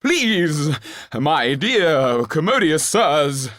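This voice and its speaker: A man's voice: fairly high pitch, sing-song, very slow, fairly bright tone, no echo, studio quality, voice pushed up, full character voice. A man voicing a pompous male stage actor who pleads for his life in grand, flowery, theatrical speech. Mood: pleading grandly.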